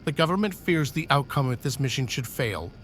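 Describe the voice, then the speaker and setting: A man's voice: medium pitch, animated, fairly quick, fairly bright tone, close to an everyday voice. A stern senior officer barking clipped, commanding orders at his subordinate , as a man plays him. His voice sits in a middle register, with a faint hiss of noise under it in this sci-fi audio drama.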